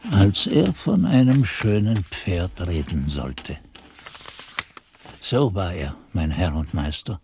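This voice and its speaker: An actor playing an old monk who recounts his youth in measured, ornate literary narration for a radio play. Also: deep voice, very animated, darkish tone, a little hiss or hum, exaggerated voice. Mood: reflective. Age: older.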